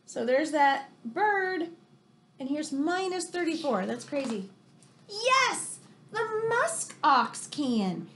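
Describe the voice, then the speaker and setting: An American woman in her thirties reading a picture book aloud like a teacher to children, expressive and exclamatory, with chatty questioning asides. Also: sits high, slow, noisy background. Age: 30s. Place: room in a home, indoors.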